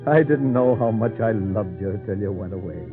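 A man playing a tender, emotional old uncle in a radio drama, speaking haltingly and repeating his words. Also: lowish voice, lightly acted. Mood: tender, moved. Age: older. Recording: tinny vintage radio recording with music.

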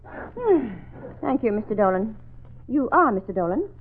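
An actress playing a prim, strict middle-aged schoolteacher in a 1940s radio drama, with a crisp, no-nonsense manner. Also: female voice, a little high, sing-song, normal speed, heavily acted. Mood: prim, brisk. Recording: tinny old radio broadcast.

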